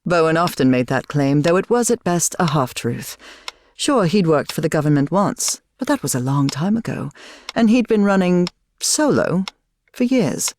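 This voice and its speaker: An actress playing a wry, knowing woman from beyond the grave who narrates a video game story in a measured way. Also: fairly low pitch, big pitch swings, darkish tone, slight background noise. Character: dead wife of a professor, wry knowing female narrator.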